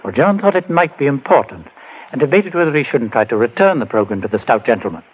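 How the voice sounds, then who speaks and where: An actor playing a skeptical, grieving man bent on avenging his brother, intense and emphatic, with a husky voice, in 1940s radio horror style. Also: medium pitch, expressive, fast, a little hiss or hum, lightly acted. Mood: tense, recounting. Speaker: supporting character. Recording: muffled 1940s radio broadcast recording.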